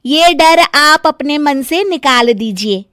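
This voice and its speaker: Woman doing a sassy young village bride, really high bright voice, drawls her words, family cartoon story. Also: expressive, slow, no echo, clean studio sound, heavily acted. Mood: coaxing, affectionate. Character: sassy, whiny village-born daughter-in-law, young woman. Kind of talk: acted Hindi family story cartoon dialogue.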